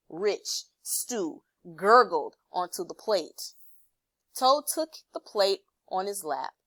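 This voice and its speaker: Female storybook narrator: expressive read-aloud, mid pitch, unhurried, very dry room. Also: dry recording. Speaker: storybook reader. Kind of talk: expressive scripted narration for children.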